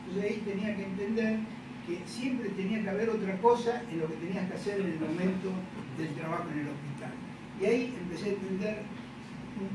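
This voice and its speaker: Argentine man lecturing in an echoey hall. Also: high-pitched. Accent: Argentine Spanish. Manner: halting, repeats words, adds questioning check-ins. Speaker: professor and psychoanalyst lecturing. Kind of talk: conference lecture. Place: large echoey university lecture room. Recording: noisy, echoey hall, distant microphone.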